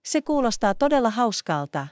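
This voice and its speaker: Earnest schoolgirl classmate played by a woman, very animated intonation, dry close sound, deliberate story dialogue. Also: on the high side, no echo. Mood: friendly, curious. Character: schoolgirls Lisa and Sarah, earnest classmates making up. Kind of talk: scripted language-learning story dialogue.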